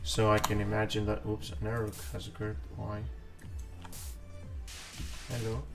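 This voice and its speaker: A man's voice: lowish voice, completely flat delivery, unhurried, creaky. Man chatting on stream. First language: Greek. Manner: chatty, thinks aloud while working, chats with viewers. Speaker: live streamer drawing pixel art. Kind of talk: casual live-stream chat while drawing. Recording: distant mic with music playing. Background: background music and keyboard typing.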